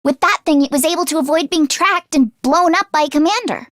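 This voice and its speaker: Anime game schoolgirl, earnest squeamish tinkerer type, really high and animated, close dry sound. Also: no echo. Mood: earnest, explaining. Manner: expressive, earnest, quick to exclaim.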